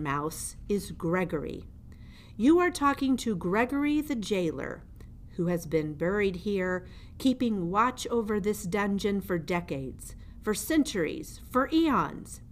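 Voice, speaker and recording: A woman's voice: mid-range voice, natural voice. A woman voicing a grim, theatrical old male dungeon jailer in a storybook, ominous and emphatic. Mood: bitter, grandiose. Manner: ominous, emphatic, refers to himself in third person. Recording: noticeable background noise.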